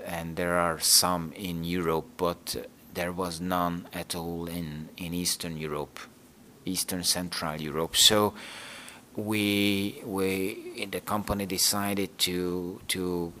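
A man's voice: deep voice, bright, forceful tone, a little hiss or hum. A man, an English speaker, answering interview questions hesitantly, with many fillers and restarts. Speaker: interview guest, team manager. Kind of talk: casual interview talk.

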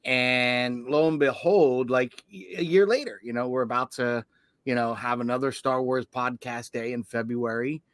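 A man co-hosting a relaxed podcast, still tired from an illness but eager and rambling, with lots of fillers. His voice sits in a middle range. The sound is dry and close. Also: medium pitch, moderate pace, no echo. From Canada. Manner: rambling, enthusiastic, many fillers. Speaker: podcast co-host. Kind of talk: casual podcast chat.

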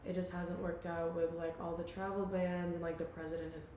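A woman's voice: on the low side, little pitch movement, a little fast, mellow. Chatty young woman in a very echoey room. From Philippines. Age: 20s. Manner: chatty, many "like" fillers, laughs often. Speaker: guest, student and local model. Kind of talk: casual chat. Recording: very echoey room, faint hiss.

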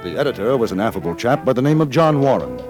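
English frontier correspondent, male actor: well-mannered, dryly wry, animated mid-pitched voice, vintage radio drama. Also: fast talker, music in the background, natural voice. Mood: measured, descriptive. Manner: polite, understated, wry. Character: courteous, wry English newspaper correspondent in the West. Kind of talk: 1950s radio drama acting and scripted narration. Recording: vintage 1950s radio recording.

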